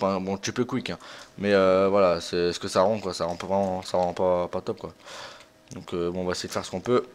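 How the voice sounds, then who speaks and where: A young man talks over a video game as its host. His voice sits at a medium pitch. He is informal and self-deprecating, rambling loosely through his commentary. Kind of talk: casual let's play commentary.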